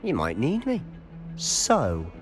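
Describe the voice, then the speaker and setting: A man's voice: mid-range voice, huge pitch swings, slow pace, faint music behind. A man playing a cocky, sly teenage wizard student in a video game, casual and conspiratorial. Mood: curious, confident. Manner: casual, confiding, self-assured. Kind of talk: video game character acting.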